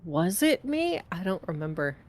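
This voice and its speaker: A woman from the Pacific Northwest chatting casually over a home voice call, talkative and quick to laugh. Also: lowish voice, sing-song. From Pacific Northwest, USA. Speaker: voice actor in a group game playthrough. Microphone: home mic on a voice call.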